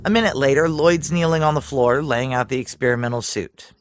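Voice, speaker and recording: A woman's voice: a bass voice, fairly quick, no room sound, clean studio sound, soft background music. An actress playing a tense, hard-boiled female security chief who narrates her inner thoughts in a noir audio drama, terse, wry and confiding.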